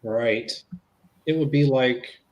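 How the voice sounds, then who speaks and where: A man playing a plain-spoken, gently probing and reassuring investigator in a dramatic reading of a police interview. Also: medium pitch, takes it slowly, close to an everyday voice, poor audio.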